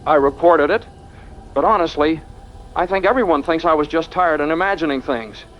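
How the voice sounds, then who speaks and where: A man acting as an earnest young male lab researcher with a quite high voice. He talks conversationally, as if being interviewed in an audio drama. The sound is dull, with faint noise behind it. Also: normal speed, natural voice. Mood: uneasy, wondering.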